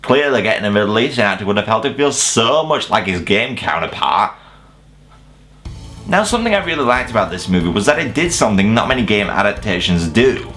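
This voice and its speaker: Animated, low-pitched man reviewing with sweary asides. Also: fairly quick, soft background music. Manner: casually sweary, mock-incredulous asides. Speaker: video reviewer and host. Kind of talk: scripted countdown review commentary.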